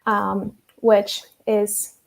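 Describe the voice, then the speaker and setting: A woman's voice: mid-range voice, level tone, extremely slow, vocal fry, rough recording. A woman from Venezuela, a mental health counselor, speaking thoughtfully as a podcast guest. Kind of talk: casual interview talk.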